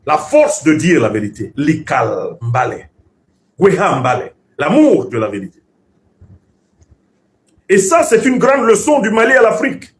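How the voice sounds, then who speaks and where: A male political commentator, raised speaking Bassa. His voice sits a little high and swings widely in pitch. He lectures like an orator, emphatic and professorial, hammering points through repetition. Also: big pitch swings, measured pace, long pauses.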